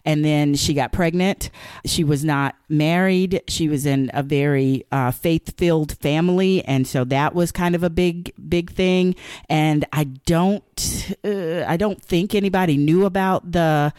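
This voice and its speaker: Low, earnest woman explaining. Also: a little slow, darkish tone, clean tone, no room sound, clean studio sound. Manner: earnest, explanatory, repeats for emphasis. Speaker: guest, counselor. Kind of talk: podcast conversation.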